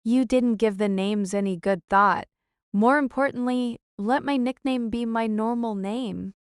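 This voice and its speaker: A woman's voice: on the high side, measured pace, clean tone, dry recording, studio quality, close to an everyday voice. A woman playing a confident, tomboyish teenage schoolgirl in an anime-style audio drama, frank and matter-of-fact. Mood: dry, teasing.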